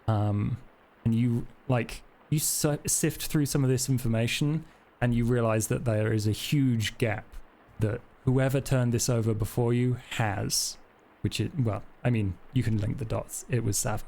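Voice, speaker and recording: A man narrates as game master in a tabletop game. His voice is gravelly and mellow, and he improvises haltingly. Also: mid-range voice, fairly even intonation.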